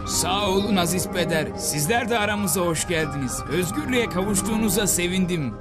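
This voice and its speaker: A man's voice: sits high, lively intonation, a little fast, over music. A man voicing a humble, grateful townsman in a cartoon, effusive and devout. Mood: excited, grateful. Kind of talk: cartoon voice acting.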